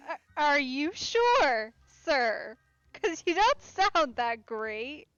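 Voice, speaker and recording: A woman does a casual let's play, musing aloud as she reacts to the game. Her voice sits a little high, and she takes her time with slow, conversational commentary. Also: sing-song, a bit bright, no room sound, lo-fi sound.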